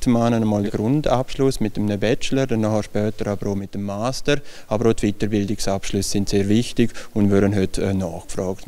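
A man, a university head giving a news interview, pausing often with fillers. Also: fairly low pitch, darkish tone. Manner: hesitant, many fillers. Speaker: university rector. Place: large bright hall with tables. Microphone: handheld microphone held near the speaker.

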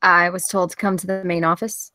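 A woman reading the part of a sassy, confident high school girl in a screenplay table read, playful and casual. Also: level tone, a little fast, bright, forceful tone, no room sound, studio quality, faint music behind.

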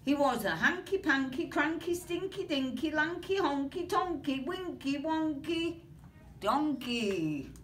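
A British woman in her sixties reading a picture book aloud in an expressive, rhythmic sing-song way. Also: sits high, a little slow. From Britain. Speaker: grandmother reading aloud to children. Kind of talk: storybook read-aloud. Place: small carpeted classroom or office, indoors. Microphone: camera or phone a few metres away. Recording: distant mic, room noise.

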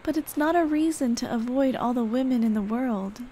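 Woman acting a high-voiced, tender ghostly girlfriend. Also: normal speed, lightly acted. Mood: wistful, gentle. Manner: gentle, teasing, coaxing, sighing. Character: tender, playful dead girlfriend comforting her grieving partner. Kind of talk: ASMR roleplay acting. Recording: clear ambient noise behind. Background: ambient sound effects.

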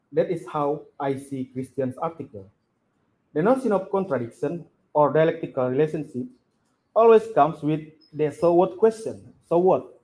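A man reads his prepared remarks to a conference room in a slightly higher, mellow voice. He is deliberate and emphatic and presses each question home. The sound comes from a camera across the room. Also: poor audio. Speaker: conference discussant.

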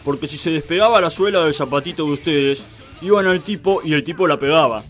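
A man's voice: on the high side, fairly quick, fairly bright tone, soft background music, close to an everyday voice. A man voicing an irascible professor who rants and scolds crudely in a comic radio play. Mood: exasperated. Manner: ranting, insulting.

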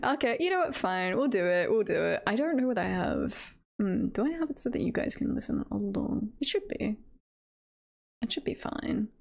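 A woman's voice: mid-range voice, big pitch swings, normal speed, vocal fry. A woman chatting casually on a livestream in a giggly, playful, rambling way. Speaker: livestreamer, virtual avatar host.

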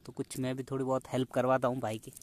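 A young man talks casually straight to his viewers, laughing easily as he goes. His voice sits at an everyday pitch, caught outdoors on a phone with faint background noise. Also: monotone, quick pace, darkish tone. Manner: chatty, laughs often, addresses viewers directly. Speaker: vlogger. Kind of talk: casual outdoor vlog narration. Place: outdoors in dry scrubland.

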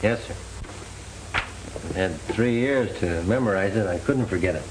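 Low-voiced young parolee. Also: male voice, measured pace. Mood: polite, determined. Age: young adult. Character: bitter, proud young man out on parole. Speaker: actor playing a paroled convict. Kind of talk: 1930s film acting. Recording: noisy 1930s film soundtrack.

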